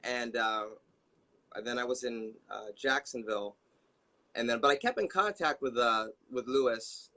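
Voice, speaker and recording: A man from Florida, a martial arts instructor, talking easily as a guest in a casual conversation, often pausing with fillers. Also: mid-range voice, pushed and bright. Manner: easygoing, hesitant, many fillers.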